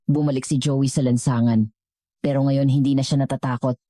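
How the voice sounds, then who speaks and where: A woman's voice: very deep voice, fairly even intonation, brisk, dark tone, dry recording, studio quality. A woman narrating a scripted dramatic story as a storyteller.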